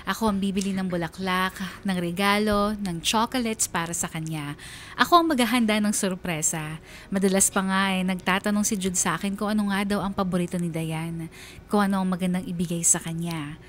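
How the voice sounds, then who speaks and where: An actress playing a wistful young woman who narrates a confession in a measured, confiding radio drama style. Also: medium pitch, steady tone, a bit bright, a little hiss or hum, close to an everyday voice. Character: wistful young woman confessing secret love for best friend's girlfriend. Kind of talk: first-person radio drama letter narration.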